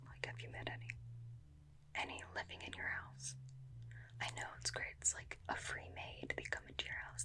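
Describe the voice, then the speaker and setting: A woman playing a chatty, suspicious, inept vampire slayer in a softly whispered ASMR roleplay, recorded close on a binaural microphone. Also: close to an everyday voice. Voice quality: soft, breathy whisper. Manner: rambling, confiding, questioning.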